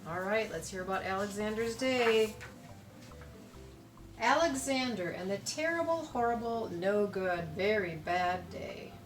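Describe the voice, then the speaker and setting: A woman reading a children's storybook aloud expressively, with dramatic emphasis and deliberate pauses on key words. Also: mid-range voice, expressive. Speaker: storybook reader. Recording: echoey room, distant mic, music behind.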